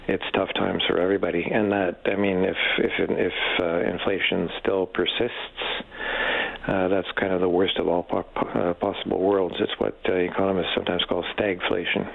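A man, an economics expert, giving unscripted, halting answers in a radio interview with frequent stammered repeats. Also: sits low, gravelly, phone-quality sound. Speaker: economics professor, expert guest.

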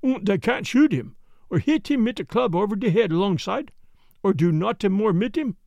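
A man's voice: high voice, expressive, moderate pace, vocal fry, darkish tone, no echo, clean studio sound. A man voicing a stubborn, boastful male prisoner with a heavy German accent and broken English. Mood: scheming. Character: wild defiant male prisoner, stubborn and blustering.

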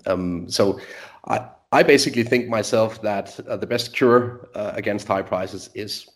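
A man from Denmark with a slightly low voice gives his market views. He hedges with frequent fillers and slips in small chuckles as he talks. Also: unhurried. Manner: hesitant, many filler sounds, chuckling asides. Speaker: market strategist guest. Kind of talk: conversational market discussion.

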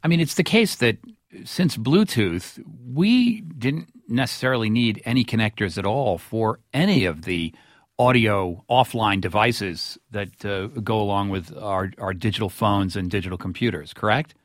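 A man presenting and interviewing as a radio host, with measured, wry and formal phrasing. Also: fairly low pitch, dry recording, clean studio sound.

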